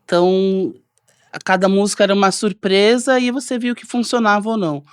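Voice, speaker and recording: Woman, DJ, middle pitch, measured, explanatory, dry close sound. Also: steady tone, clean tone, no room sound. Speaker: DJ guest. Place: studio.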